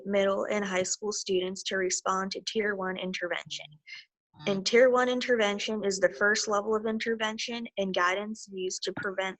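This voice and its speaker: A woman's voice: mid-range voice, fairly bright tone, rough recording. Woman reading a prepared presentation. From Florida. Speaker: behavior technician.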